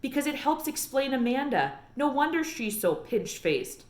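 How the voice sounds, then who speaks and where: A woman's voice: high-pitched, very animated, moderate pace, reverberant, voice pushed up. A woman voicing an earnest, curious and stubborn young girl in a storybook read-aloud. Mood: reproachful.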